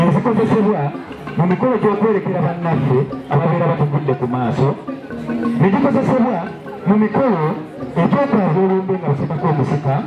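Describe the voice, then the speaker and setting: A man with a Ugandan accent narrating a cultural dress show outdoors, in a proud storytelling way. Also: high voice. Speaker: cultural presenter. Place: outdoor lawn at a campus gala. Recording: echoey, dull outdoor PA with loud drums.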